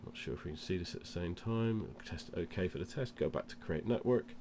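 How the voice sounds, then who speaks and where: A man's voice: sits low, a little fast, gravelly, background noise. A man with a cold presents an informal product demonstration, explaining things step by step. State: has a cold. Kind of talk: informal instructional demo.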